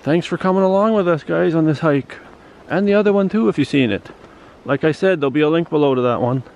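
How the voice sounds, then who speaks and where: A man's voice: a little high, quick pace, vocal fry, lo-fi sound. A man filming himself on a forest hike, chatting casually and chuckling as he walks by a lake. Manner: relaxed, chatty, chuckles often. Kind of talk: casual narration while walking. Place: outdoors on a windy forest trail by a lake. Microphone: handheld camera. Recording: windy outdoor camera audio. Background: wind and lake waves.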